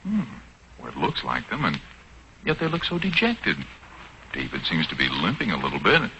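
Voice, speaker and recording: A man's voice: sits low, wildly swooping pitch, normal speed, sharp tone, lo-fi sound, a little hiss or hum. An American man announcing and narrating a 1940s radio show from a script. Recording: old 1940s radio recording with music. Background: music.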